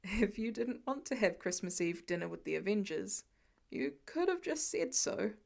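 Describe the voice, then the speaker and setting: A woman voicing a wry, protective man, a father figure in an audiobook, dry and gently scolding. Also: medium pitch, lively intonation, normal speed, creaky, voice pushed down. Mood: subdued, wry.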